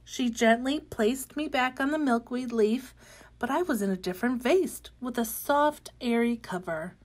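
Storybook caterpillar played by a woman: young, cheerful, full of wonder, slightly high pitch, slow gentle delivery. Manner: gentle, expressive, with excited exclamations. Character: cheerful, wide-eyed young monarch caterpillar turned butterfly. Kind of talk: animated read-aloud of children's picture book.

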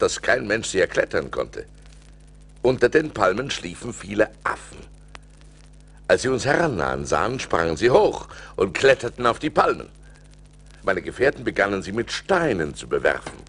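An actor playing a rich seafaring merchant who recounts his adventures as an expressive storyteller in a radio play. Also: on the low side, a little hiss or hum, exaggerated voice. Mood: recounting. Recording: vinyl record transfer with music behind.

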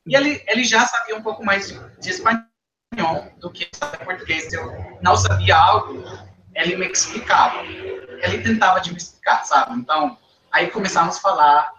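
A man's voice: sits high, animated, moderate pace, muffled recording. A young man from Costa Rica, a native Spanish speaker with a Costa Rican accent, answering interview questions in learner Portuguese, hesitant and repeating words, often adding "sabe?". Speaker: guest. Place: small indoor living room. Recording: echoey room.

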